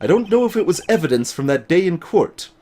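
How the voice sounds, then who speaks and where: A man voicing a haunted, solemn game character confessing a dark memory, grave, halting and dramatic. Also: high-pitched, sing-song, a little hiss or hum. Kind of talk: dramatic voiced reading of game dialogue.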